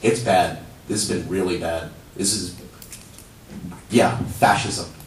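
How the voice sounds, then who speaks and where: A young man whose first language is Urdu, speaking in a halting, pleading way at a public comment podium in a council chamber. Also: sits low, fairly even intonation. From child of immigrants. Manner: halting, many restarts, rising to pleading urgency. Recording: roomy hall, distant mic, faint hum.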